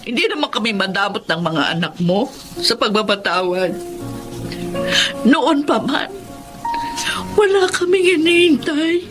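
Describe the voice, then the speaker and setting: Anguished high-voiced Filipino wife. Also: female voice, lively intonation, unhurried, long pauses, over music. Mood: gentle, forgiving. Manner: emotional, pleading. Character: anguished wronged wife and mother, long-suffering, pleading. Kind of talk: Filipino radio drama acting.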